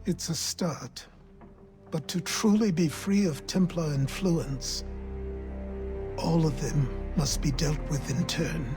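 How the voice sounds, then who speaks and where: A stern, weary mentor in a game cutscene, speaking slowly with grave weight. His voice sits a little higher than usual for a man, under soft music. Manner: measured, grave.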